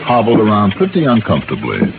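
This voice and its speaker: A man's voice: lowish voice, wildly swooping pitch, fast, gravelly, full character voice. An actor playing a breezy, wry insurance investigator who narrates his cases in old radio detective drama style. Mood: brisk narrating. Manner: wry, bantering. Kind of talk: 1950s-60s radio detective drama acting. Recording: muffled old radio broadcast, music bed.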